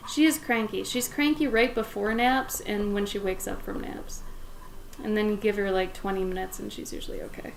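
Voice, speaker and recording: A young woman with a somewhat raspy voice, chatting casually while she draws on a livestream and talks to her dog and to viewers. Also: mid-range voice, steady tone, normal speed, slight background noise, poor audio. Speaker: livestreaming pet portrait artist. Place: home art studio with plastic bins.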